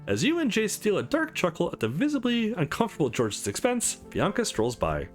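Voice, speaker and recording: Man, let's play host, very animated pitch, narration with commentary, faint music in the background. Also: fairly quick. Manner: animated, acts out character lines while reading. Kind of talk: reading a visual novel aloud with commentary.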